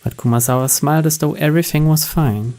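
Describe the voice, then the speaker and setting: A man's voice: on the high side, steady tone, quick pace, dark tone. A man reading a visual novel's story text aloud as scripted narration. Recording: music and rain behind.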